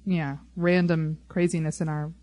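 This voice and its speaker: Chatty lady podcast host, kinda low voice, rambles a bit, dry close sound. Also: flat delivery, moderate pace, dark tone, dry recording. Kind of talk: casual fan discussion of a TV show.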